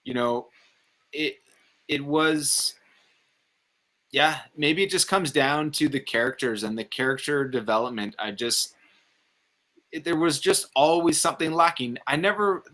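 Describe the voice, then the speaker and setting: A man's voice: on the high side, measured pace, pauses often, bright, forceful tone. A man talking casually about movies, opinionated and rambling, with some swearing. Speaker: film review show panelist.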